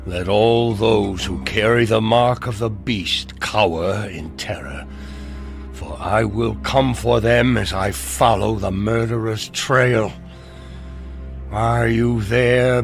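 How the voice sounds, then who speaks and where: A grim old preacher with a gun speaks in a low, creaking growl. He is righteous and vengeful, delivering scripture like a threat in a western video game, with music playing behind him. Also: a bit bright, exaggerated voice. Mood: solemn menace. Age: older. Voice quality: gravelly. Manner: solemn, menacing preacher's delivery. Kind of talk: dramatic video game acting, scripture-quoting.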